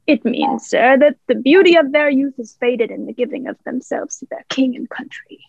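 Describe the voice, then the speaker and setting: A young woman playing a genial, flattering old one-legged sea cook in a radio play, with folksy, deferential sailor talk. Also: high-pitched, normal speed, creaky, dry recording, dull audio. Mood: deferential, persuasive.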